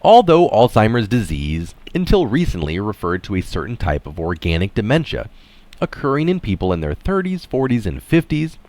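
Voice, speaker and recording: A man's voice: fairly low pitch, huge pitch swings, moderate pace, darkish tone, no echo. A man reading an article aloud and adding informal, conversational comments of his own. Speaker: reader and commentator.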